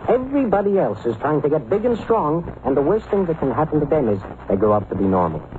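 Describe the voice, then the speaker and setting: An actor playing a cynical, wisecracking racetrack bookmaker in an old radio drama, with dry delivery and a thin vintage sound. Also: male voice, a little high, big pitch swings, fast talker. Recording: narrowband old radio recording, faint hiss.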